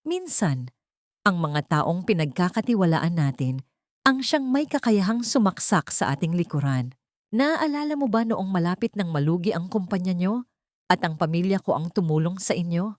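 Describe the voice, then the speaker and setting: Manipulative society villainess, played by a female narrator, lowish voice, calculating, for an audiobook melodrama. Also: big pitch swings, moderate pace, dry recording, clean studio sound. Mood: sweet, persuasive. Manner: soft, coaxing or coldly menacing. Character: scheming, manipulative rich woman, sweet-talking and cruel.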